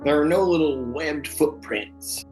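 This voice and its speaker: A man's voice: on the high side, a little slow, reverberant, over music, natural voice. A man playing an awkward, reasonable family friend, hesitant and placating, in a live comedy script reading. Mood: dry, skeptical.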